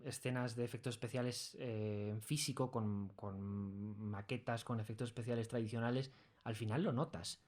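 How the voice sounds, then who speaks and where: Hombre español pausado, de tono medio. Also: male voice, mid-range voice, fairly even intonation, a little mellow. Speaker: interview guest. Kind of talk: casual interview conversation.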